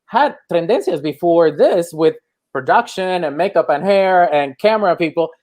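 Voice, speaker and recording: A man hosting a live-streamed talk show, excitable and gushing, with lots of fillers. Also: fairly high pitch, normal speed.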